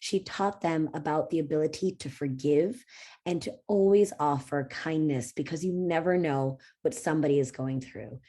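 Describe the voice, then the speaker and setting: Woman, keynote speaker, low voice, mellow tone, earnest storytelling, some ums and restarts. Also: normal speed, breathy edge. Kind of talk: personal keynote speech. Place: conference stage.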